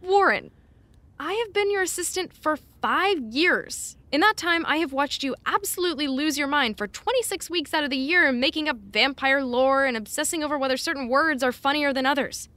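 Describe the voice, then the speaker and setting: Exasperated, caring assistant for audio drama: fairly high voice, wry, no-nonsense delivery, very dry sound. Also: female voice, lively intonation, moderate pace, pushed and bright, no room sound. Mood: incredulous. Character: blunt, exasperated, caring female personal assistant. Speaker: actor. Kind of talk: naturalistic audio drama acting.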